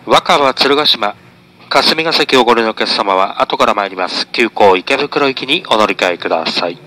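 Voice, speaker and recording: A man's voice: medium pitch, lively intonation, normal speed, sharp tone, poor audio. A man, a train conductor, making a formal, polite, routine live announcement on board.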